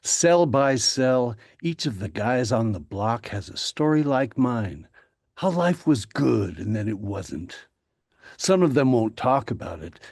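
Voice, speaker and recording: Slow-paced man reading his poem. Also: medium pitch, darkish tone, breathy edge, no room sound, studio quality. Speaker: poet. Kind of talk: introducing and reading own poem aloud.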